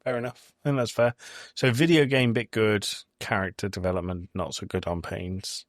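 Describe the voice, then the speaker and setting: Low-voiced man, dry close sound. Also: fairly even intonation, normal speed, no room sound. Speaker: podcast host. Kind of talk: casual film review chat.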